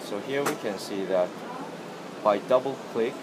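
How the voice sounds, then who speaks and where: A man's voice: lowish voice, measured pace. A man narrating a product demonstration as its presenter. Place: indoor trade show demo room. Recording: noisy room, air-conditioning hum.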